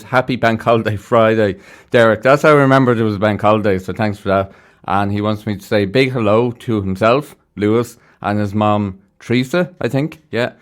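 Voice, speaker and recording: A man's voice: fairly low pitch, smooth. A man presenting a music radio show in a casual, chatty, rambling way from a studio. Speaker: radio host. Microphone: studio broadcast microphone.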